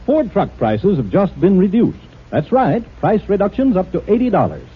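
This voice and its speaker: A man announcing a 1950 radio commercial with a punchy sales delivery and a raspy voice. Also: high voice, very animated, moderate pace, slight background noise. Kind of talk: 1950 radio advertisement read. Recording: tinny, narrow old radio broadcast.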